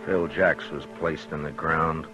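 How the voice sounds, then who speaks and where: Casting: sober American frontier marshal, extremely low voice, measured and understated, vintage radio western with music behind. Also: male voice, fairly even intonation, muffled recording. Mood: somber narration. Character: sober, plain-spoken US marshal of the Old West. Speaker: lead actor and narrator. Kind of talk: 1950s radio western acting, narration and dialogue. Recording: vintage 1950s radio recording.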